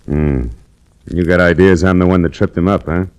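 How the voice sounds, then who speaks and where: A man's voice: deep voice, fairly even intonation, moderate pace, darkish tone, clean tone, close to an everyday voice. An actor playing an evasive, needling male garage owner in a 1940s radio drama. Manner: evasive, needling, mock-friendly. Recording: restored old radio broadcast.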